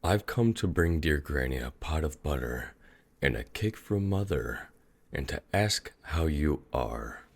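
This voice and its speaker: A man voicing a sly, courteous big wolf in a storybook reading, feigning gentleness. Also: rumbling low, expressive, unhurried, creaky, full character voice. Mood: coaxing pretence.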